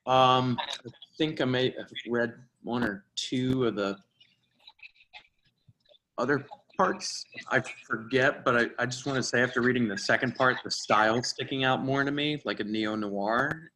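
A man's voice: mid-range voice, unhurried, bright, forceful tone, low-quality recording. A man who acts in script readings, talking casually in a group discussion. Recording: faint noise with clicks.

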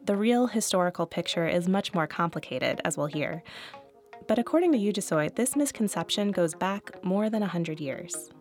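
A woman with a fairly low voice narrates a podcast script in a clear, even way. Soft music runs faintly behind her. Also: moderate pace. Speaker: podcast host.

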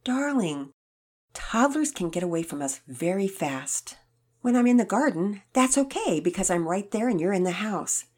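Woman doing a gentle, reassuring mother. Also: medium pitch, very animated, normal speed, voice pushed up. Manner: gentle, soothing, preachy.

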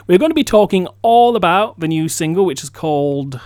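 Animated high-pitched male radio host. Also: brisk, dry recording, studio quality. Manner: jokey, mock-dramatic. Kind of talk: lively radio interview banter. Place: radio studio.

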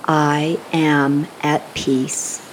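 A woman with a low-pitched voice leads a guided meditation. She takes her time, speaking slowly and soothingly with gentle instructions and long pauses. A faint rumbling hiss sits behind her voice. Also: flat delivery, rough recording.

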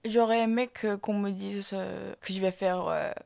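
A woman artist whose first language is not French speaks in a middle-pitched voice. She searches for her words as a guest on an interview podcast, with somewhat unusual phrasing. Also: steady tone, measured pace, creaky, darkish tone. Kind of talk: casual interview talk.

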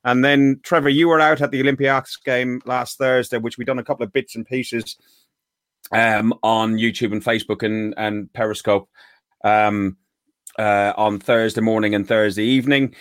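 A man's voice: medium pitch, steady tone, moderate pace, a bit bright, no room sound, studio quality. An Irish man hosting a casual fan chat show, talking in a rambling way with many restarts. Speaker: podcast host.

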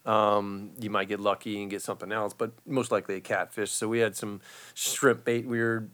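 Bright-voiced man telling a rambling story. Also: fairly low pitch, moderate pace. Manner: rambling, animated, many fillers. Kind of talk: casual chat, storytelling.

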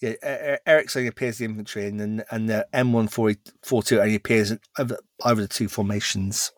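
A man's voice: on the low side, fairly even intonation, fast, dry recording. Man talking wargame rules on a dry mic. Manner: explains rules in long runs, with many restarts. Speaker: podcast co-host. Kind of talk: casual chat about tabletop wargame rules.

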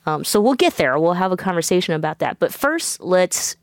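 A woman talk show host with a low, smooth voice. She talks in a chatty, loose way full of fillers and restarts. The sound is dry and close. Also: very animated, fairly quick, dry recording. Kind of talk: casual interview.

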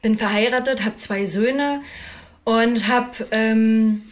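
A woman in her early forties who grew up in northeastern Germany talks as a guest on a casual podcast. She works as a life and business coach, and her voice sits a little high as she chats cheerfully. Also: steady tone, slow. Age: 40s.

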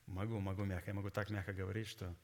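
A man delivering a sermon in a formal, didactic, deliberate way, his voice somewhat hoarse from a cold. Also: low-pitched, level tone, a little mellow. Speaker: preacher. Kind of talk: sermon with scripture readings and exposition.

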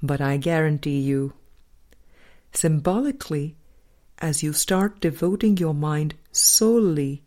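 A woman with a low-pitched voice reads a spiritual audiobook. Her delivery is slow and deliberate, with pauses between phrases. The tone is soft-edged and mellow. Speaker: audiobook reader. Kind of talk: scripted spiritual audiobook narration.

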